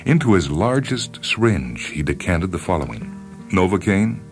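A man reading a short story as scripted radio narration, deliberate and wry, with theatrical phrasing and dramatic pauses. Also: low voice, sing-song. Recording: music bed under narration. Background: background music with guitar.

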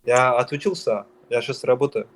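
A man's voice: mid-range voice, steady tone, brisk, slight background noise. A young man from Dagestan who answers questions on a call, hesitant and getting defensive.

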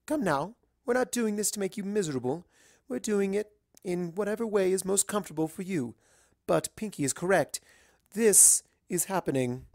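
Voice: medium pitch, expressive, normal speed, vocal fry, darkish tone, no echo, studio quality, pitched above the performer's own voice. A narrator voicing a refined, bossy but caring female unicorn pony with formal, genteel phrasing. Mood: firm.

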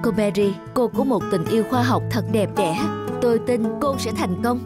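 A man's voice: high voice, normal speed, close to an everyday voice. A man as a proud young cartoon scientist, music behind. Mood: admiring. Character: proud, skeptical young male scientist, secretly smitten. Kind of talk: animated cartoon dub dialogue.

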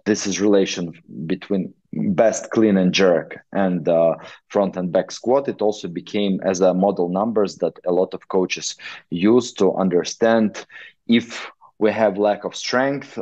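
A middle-aged man from Ukraine with a Ukrainian accent, a non-native speaker giving an online lecture as a weightlifting coach, in halting English full of fillers. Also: sits low, warm tone, dry recording. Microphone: video call. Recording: dull lo-fi video call audio.

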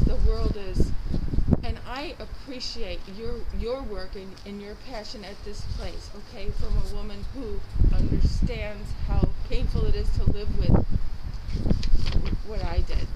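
Middle-aged woman, conciliatory and sincere, repeats herself; heard outdoors through loud noise on someone else's body camera. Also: medium pitch. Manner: earnest, conciliatory, repeats phrases. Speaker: churchgoer. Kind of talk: casual street conversation. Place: outdoors on church steps in a city street. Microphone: body-worn camera worn by another person, a few steps away.

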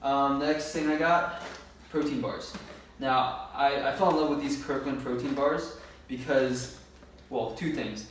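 A young man in his early twenties speaks casually and informally as a fitness vlogger. His voice sits at an average pitch, picked up from a distance in a very echoey room with faint noise behind it. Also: steady tone, unhurried. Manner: laid-back, informal talk with slang. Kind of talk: casual grocery haul vlog. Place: bare-walled room indoors. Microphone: camera a few metres away.

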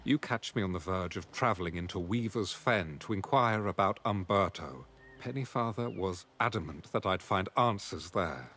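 A man playing an earnest, courteous traveling companion in a fantasy game, speaking in a measured, formal way. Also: low voice, animated, fairly bright tone, a little hiss or hum. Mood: earnest, friendly. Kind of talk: scripted game dialogue.

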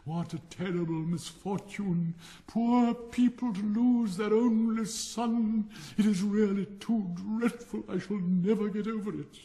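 A man's voice: high-pitched, steady tone, unhurried, warm tone, voice pushed up. Man playing a haughty, melodramatic English rocket. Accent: English Received Pronunciation. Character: pompous, self-pitying, supercilious male firework rocket. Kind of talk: theatrical storybook character reading.

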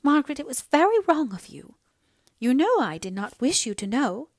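A woman's voice: a little high, animated, moderate pace, no echo, clean studio sound, pitched above the performer's own voice. A woman voicing a frail, ailing mother in an audiobook, plaintive, anxious and easily displeased. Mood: reproachful. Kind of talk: dramatised audiobook dialogue.

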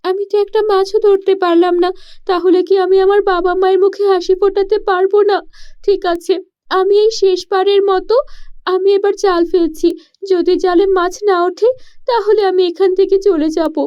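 A woman's voice: very high-pitched, level tone, normal speed, dull audio, voice pushed up. A woman voicing a poor, spirited village girl in a cartoon story, earnest and devoted to her family. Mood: discouraged. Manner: earnest, protesting, questioning.

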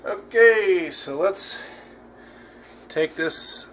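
Man, slightly high voice, casual rambling cooking demo, home kitchen, faint room noise. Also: drawn-out words. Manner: relaxed, rambling, many fillers and restarts. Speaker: cooking channel host.

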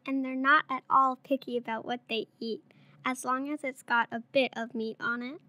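A woman's voice: high-pitched, steady tone, normal speed, pushed and bright, dry recording. A girl of about eight or nine, a third-grade pupil, reading a picture book aloud. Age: child, around eight or nine.

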